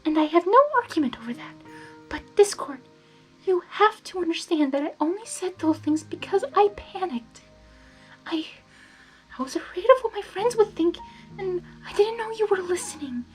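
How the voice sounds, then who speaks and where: A woman voicing a timid, gentle young female pony in a hesitant, pleading, apologetic manner for a story reading. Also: very high voice, animated, normal speed, soft background music, voice pushed up, low-quality recording. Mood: earnest.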